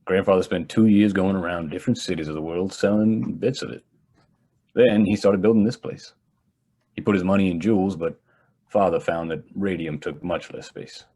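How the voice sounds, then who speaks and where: A man acting a privileged young heir in a radio drama, polite, measured and matter-of-fact, with a husky voice. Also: low-pitched, steady tone, dark tone.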